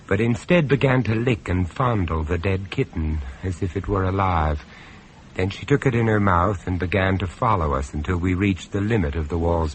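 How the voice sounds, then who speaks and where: An actor playing a grave, observant gentleman who narrates a horror tale in a radio play, in a dramatic storytelling style. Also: deep voice. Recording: noisy old radio drama recording.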